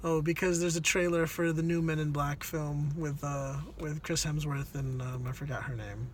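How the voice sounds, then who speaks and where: A woman's voice: low-pitched, fairly even intonation, normal speed, a little hiss or hum. A woman co-hosting a casual, unscripted review chat, steering it with questions and prompts. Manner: questioning, keeps prompting the other speaker. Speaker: co-host steering a film review.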